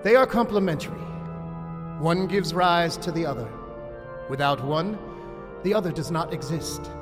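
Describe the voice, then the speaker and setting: An actor playing a solemn cult archpriest in an audio drama, delivering a mystical eulogy in a grandiose, incantatory manner. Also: male voice, on the high side, lively intonation, normal speed, close to an everyday voice. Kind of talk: ceremonial audio drama oration. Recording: long hall reverb, music underneath. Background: music.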